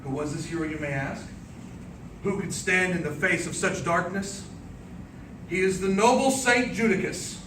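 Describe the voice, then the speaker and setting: A man delivering a theatrical speech as an epic tale, with dramatic, declamatory and deliberate phrasing. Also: sits high. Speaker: speech contest speaker. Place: indoor room before an audience. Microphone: distant microphone. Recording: roomy, distant microphone, clearly noisy background.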